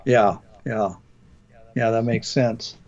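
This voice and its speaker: A man's voice: mid-range voice, fairly even intonation, takes it slowly, darkish tone. A man hosting a casual conversation, asking questions while losing his voice. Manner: inquisitive, conversational. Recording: dull lo-fi sound.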